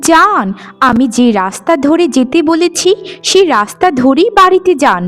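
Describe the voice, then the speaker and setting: Woman playing a high-voiced, kind forest maiden. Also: moderate pace, faint music behind. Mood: startled, scolding. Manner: tender, expressive. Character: kind, beautiful young forest woman, tender animal lover. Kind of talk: cartoon fairy-tale acting.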